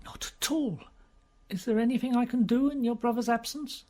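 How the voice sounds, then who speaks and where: A woman's voice: fairly high pitch, moderate pace, mellow, voice pushed up, natural voice. A woman voicing a flustered, polite male detective who speaks hesitantly and stammers. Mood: gentle.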